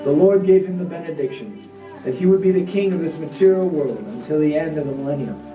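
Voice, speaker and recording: A narrator of unclear sex reads a scripted devotional story in measured phrases. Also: medium pitch. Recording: echoey, distant, music behind.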